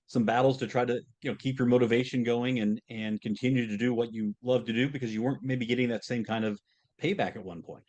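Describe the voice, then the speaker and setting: A man's voice: medium pitch, steady tone, quick pace, a little mellow, dull audio. A man with a mid-range voice hosts a podcast interview. He asks long, meandering questions, stumbling through fillers and restarts, and he laughs easily. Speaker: podcast host.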